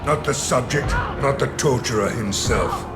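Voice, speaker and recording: An actor playing a seasoned, cynical mercenary in a video game, with a slow, dry delivery. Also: male voice, medium pitch, natural voice. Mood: grim. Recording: loud music behind.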